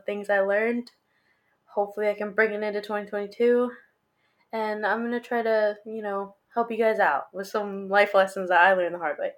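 A young woman with a stuffy, congested voice chats alone to her listeners as a podcast host. She rambles loosely, apologizes a lot and fills gaps with ums. Her pitch sits in a middle range for a woman. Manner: rambling and self-deprecating, with many fillers. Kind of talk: casual solo chat. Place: home room, recorded on video.

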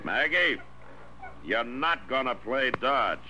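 An American actor playing a stern, stubborn frontier marshal in a 1950s radio western, with a dry, measured, firm delivery. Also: male voice, mid-range voice, expressive. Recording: noisy old radio recording.

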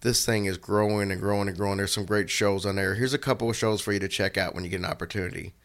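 A man co-hosting a podcast, hoarse and raspy from a cold, talking in an earnest, rambling way. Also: on the low side, steady tone, quick pace, a bit bright. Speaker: podcast co-host. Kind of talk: casual chat.

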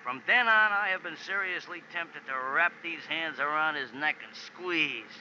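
An actor playing a little racetrack character who talks in stilted, formal slang in 1940s radio style. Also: male voice, a little high, normal speed, pushed and bright. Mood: indignant. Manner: stilted formal diction without contractions, slangy flourishes. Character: eccentric horse-loving racetrack character, little man, wordy and formal. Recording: dull, noisy 1940s radio recording.

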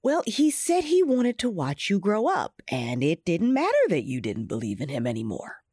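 A woman playing a wise, gentle imaginary friend in an audio fairy tale, patiently explaining in a husky, theatrical way. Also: on the low side, wildly swooping pitch, normal speed, dry recording, studio quality, heavily acted. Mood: kindly.

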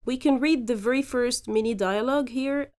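A woman hosting a podcast, teaching phrases slowly and explaining each example in turn. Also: on the high side, a little mellow. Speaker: podcast host and Arabic teacher. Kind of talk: language lesson podcast.

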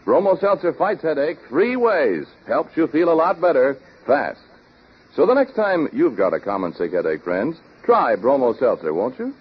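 A man working as an old-time radio announcer, delivering sponsor pitches and story links. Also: very animated, moderate pace, breathy edge. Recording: dull old radio recording.

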